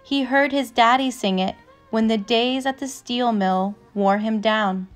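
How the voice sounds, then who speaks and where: A woman narrator reading a children's picture book aloud from a script, with measured, careful phrasing. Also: fairly high pitch. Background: instrumental music.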